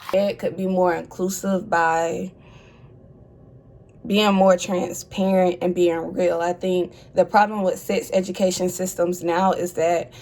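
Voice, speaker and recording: A woman's voice: lowish voice, flat delivery, unhurried, a little mellow. A woman in her twenties speaking as an advocate, composed and articulate, telling her story in an interview. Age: 20s. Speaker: HIV advocate. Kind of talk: interview testimony.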